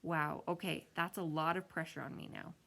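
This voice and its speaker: Woman doing techy school girl, eager but a bit unsure, casual, creaky voice. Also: sits low, fairly even intonation, moderate pace, bright, forceful tone, full character voice. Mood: flustered.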